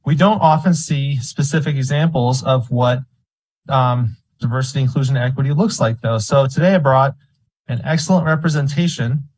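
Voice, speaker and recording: A man's voice: mid-range voice, normal speed, a little mellow, rough recording. A man speaking as a member of the public at a meeting, argumentative and indignant, making his case in a public comment. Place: school board meeting room.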